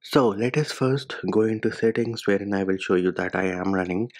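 A man hosting a step-by-step phone tutorial voice-over in a measured, instructional manner. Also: on the low side. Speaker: tech tutorial host.

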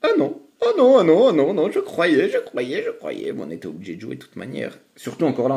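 A man commenting on his online poker hands for viewers in a chatty, excitable way. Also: mid-range voice. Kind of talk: casual live commentary while playing.

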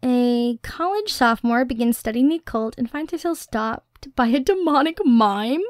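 High-voiced, dorky, playful girlfriend played by a woman. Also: normal speed, no echo, clean studio sound, close to an everyday voice. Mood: excited, pleading. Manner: playful, expressive, teasing, nerdy rambling. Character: playful, dorky, Halloween-obsessed young girlfriend, excitable and affectionate. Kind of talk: ASMR girlfriend roleplay, intimate acted monologue. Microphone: close, dry studio microphone.

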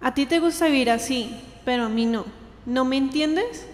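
A young woman playing an earnest, anguished sister in an amateur radio drama, with a halting, plaintive delivery. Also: high voice, close to an everyday voice. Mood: troubled, insistent. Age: young adult. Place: indoor green-screen studio. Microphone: handheld dynamic microphone shared close up. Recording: reverberant hall-like echo.

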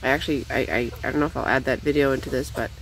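A young woman chatting casually while she feeds her backyard chickens, rambling and cooing at them in a high voice, recorded on a handheld phone outdoors. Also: steady tone, very fast, slight background noise, low-quality recording. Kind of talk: casual off-the-cuff vlog chat.

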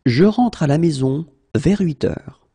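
A man who is a native French teacher, slowly drilling short phrases, saying each one whole and then word by word. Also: fairly high pitch, lively intonation, warm tone, breathy edge. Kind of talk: slow phrase-by-phrase language drill.

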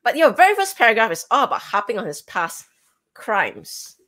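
A woman's voice: a little high, wildly swooping pitch, normal speed, fairly bright tone. A woman co-hosting a livestream, discussing casually with opinions and a pointed, questioning way of talking. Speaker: livestream co-host. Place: indoor room, livestream on webcam.

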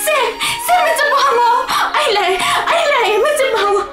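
Audio drama: actress voicing a grieving young bride, very high, rapid, tearful, music swelling behind. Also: female voice, expressive. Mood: sobbing. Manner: hushed, then tearful and shouting. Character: young woman Yaiphabi, anguished bride. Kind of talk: Manipuri audio play acting. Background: music score.

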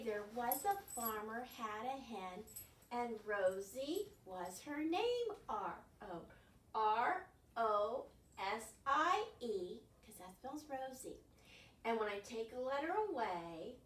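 An older woman leading an interactive storytime for young children as a children's librarian, speaking in an animated way with exaggerated questions. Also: a little high. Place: carpeted living room at home. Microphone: camera across the room. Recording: roomy, distant mic with some background noise.

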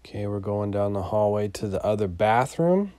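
A man giving a casual, unscripted property walkthrough as a landlord, in a matter-of-fact, halting way that trails off. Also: lowish voice, animated, darkish tone, no echo. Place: inside an empty mobile home, starting on the porch.

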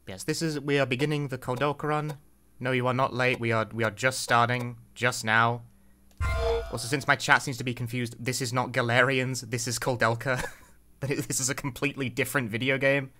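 A man's voice: mid-range voice, flat delivery, normal speed, pushed and bright, slight background noise. A young man giving casual live commentary as a speedrun streamer, often repeating phrases for emphasis. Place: indoor room at home.

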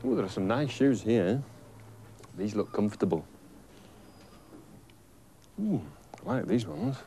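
Courteous older English boatman, relaxed mellow delivery, children's drama. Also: on the low side, measured pace, gravelly, faint music behind, exaggerated voice. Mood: polite. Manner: musing aloud, courteous. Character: genial, polite older boatman.

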